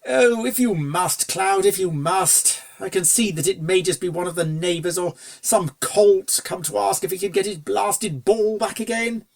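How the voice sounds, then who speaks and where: A reader voicing a genteel, good-natured upper-class gentleman stallion in a storybook, with a posh, dithering, old-fashioned way of talking. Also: medium pitch, moderate pace, vocal fry, hardly any pauses, pitched above the performer's own voice, close to an everyday voice. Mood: flustered, indulgent. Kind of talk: storybook character dialogue.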